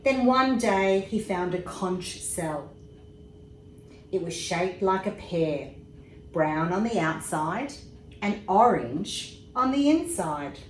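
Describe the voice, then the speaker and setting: Slow-paced woman reading a bedtime story, roomy echo. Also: fairly low pitch. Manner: unhurried, expressive, pauses for asides about pictures. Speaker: storybook reader, emergency services commissioner. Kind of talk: bedtime story read aloud to young children. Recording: roomy echo with faint background noise.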